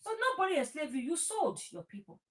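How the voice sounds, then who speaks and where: A woman's voice: sits high, big pitch swings, fast. A woman with a Nigerian accent talking informally and emphatically about her opinions, repeating phrases and slipping into Pidgin. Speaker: talk show host. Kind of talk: impassioned informal political talk.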